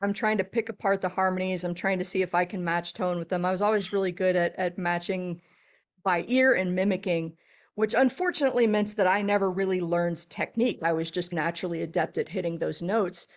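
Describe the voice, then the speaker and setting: A middle-aged woman from Texas with a deep voice, a podcast guest chatting casually and telling animated stories. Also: a little fast. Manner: animated storyteller, laughs often. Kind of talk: casual interview chat.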